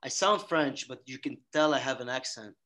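A young man who grew up in Nigeria, talking casually as an interview guest, animated and often restarting his sentences. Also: medium pitch, moderate pace. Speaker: guest musician.

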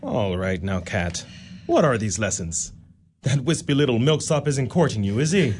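A man's voice: medium pitch, expressive, moderate pace, darkish tone, slight background noise, natural voice. A man playing a burly, jealous young village suitor in a radio play, boastful, blustering and mocking. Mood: jealous, exasperated.

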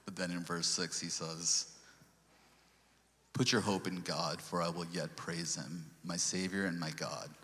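A man's voice: sits low, steady tone, unhurried, pushed and bright, long reverb tail. A man from Sri Lanka giving an earnest church testimony, quoting scripture and stumbling over restarts. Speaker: lay speaker giving a testimony.

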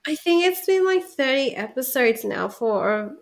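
A woman's voice: high voice, very animated, moderate pace, gravelly. A woman whose first language is Cantonese, co-hosting a casual podcast, chatty and reflective, often breaking into laughter. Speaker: podcast co-host.

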